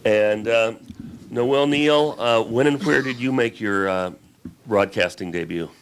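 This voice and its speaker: A man conducting a panel interview in a deliberate, formal, halting way. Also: mid-range voice. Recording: noisy live recording with clicks.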